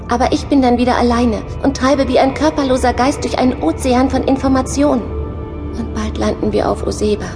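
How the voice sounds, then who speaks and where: A lonely female ship's computer, voiced by a woman in a radio drama, speaking in a soft, earnest, searching way with a fairly high voice. Music plays under her lines. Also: natural voice. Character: female onboard computer, lonely, longing for a body. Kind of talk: audio drama acting.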